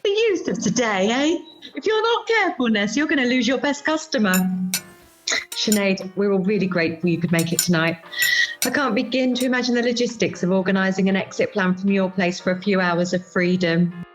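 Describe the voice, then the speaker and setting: A woman's voice: medium pitch, expressive, brisk, lo-fi sound, faint music behind, natural voice. A woman playing a teasing, melodramatic middle-aged friend in an amateur radio play. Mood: chatty, sardonic. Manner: melodramatic, sardonic. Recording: home recording over video call.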